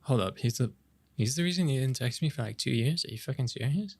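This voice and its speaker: Male actor as boyish best-friend love interest for romantic ASMR roleplay; French Canadian accent, medium pitch, casual, playful, halting delivery. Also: lively intonation, darkish tone, breathy edge. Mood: hurt, indignant. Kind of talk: intimate romantic ASMR roleplay, improvised-sounding casual chat.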